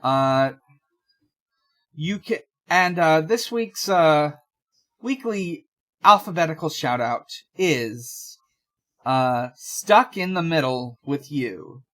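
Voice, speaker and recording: Bright forceful voice, mid-pitched, casual podcast host, halting, rambling delivery. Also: animated.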